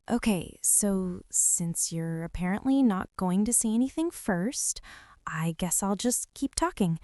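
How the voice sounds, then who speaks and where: A woman's voice: mid-range voice, dark tone, dry recording, studio quality. A young woman playing a bossy, defensive college girl with a secret crush, rambling and teasing straight to the listener in an intimate girlfriend ASMR roleplay. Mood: insistent, flustered.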